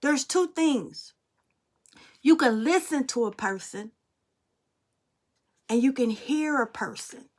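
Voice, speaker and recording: Blunt, profane spiritual woman, fairly high voice. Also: lively intonation, slow, long pauses. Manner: blunt, emphatic, freely profane. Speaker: spiritual reader. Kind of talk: casual spiritual monologue.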